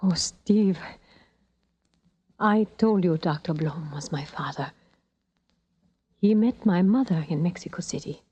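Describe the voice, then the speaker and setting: An actress playing an uneasy, brooding young woman in a vintage radio drama, with hushed, earnest, poetic delivery. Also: fairly low pitch, a little slow, long pauses, husky, highs cut off. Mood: solemn confession. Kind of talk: 1940s-50s radio drama acting.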